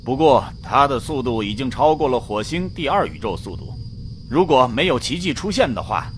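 A man playing a pragmatic astronaut who doubts everything, in a sci-fi radio play. He is matter-of-fact and dismissive, with an expressive mid-pitched voice and faint noise in the background. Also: moderate pace, natural voice. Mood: businesslike. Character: pragmatic, sceptical male Indian astronaut. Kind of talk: radio drama acting.

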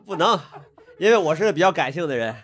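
A man's voice: on the high side, big pitch swings, quick pace, crowd noise. A man from Northeast China co-hosting a podcast, joking at his own expense and chuckling through his words. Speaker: podcast co-host. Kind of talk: casual chat.

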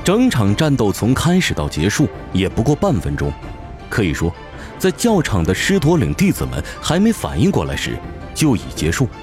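A man's voice: mid-range voice, wildly swooping pitch, moderate pace. A man narrating a fantasy audiobook in a theatrical, suspenseful style, over music. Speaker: narrator, professional voice actor. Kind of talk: dramatic scripted audiobook narration. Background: music bed.

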